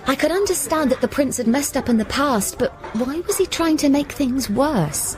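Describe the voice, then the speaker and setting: A woman's voice: sits high, expressive, moderate pace, soft background music. An actress playing a puzzled, wry woman who narrates and speaks in a surreal radio drama.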